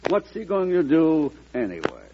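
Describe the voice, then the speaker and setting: An actor playing a hawkish, skeptical senator in an old-time radio drama, with an emphatic, challenging delivery. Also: male voice, fairly high pitch, huge pitch swings, moderate pace. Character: combative, hawkish male senator, skeptical of the President. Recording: muffled old radio recording.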